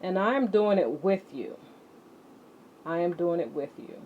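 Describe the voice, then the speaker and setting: A woman teaching children as an exercise leader, speaking deliberately and emphatically, addressing her students directly. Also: lowish voice, lively intonation. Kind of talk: instructional lesson to children, read-along with warm-up exercises. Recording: muffled audio with clear background noise.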